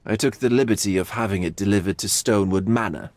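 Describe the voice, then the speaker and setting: An actor playing a languid, flamboyant art lover in a video game, speaking with arch theatrical drawl. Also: male voice, fairly low pitch, fast, darkish tone, no echo, close to an everyday voice. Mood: bored politeness. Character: droll, languid, flamboyant male art aesthete. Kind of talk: video game cutscene acting.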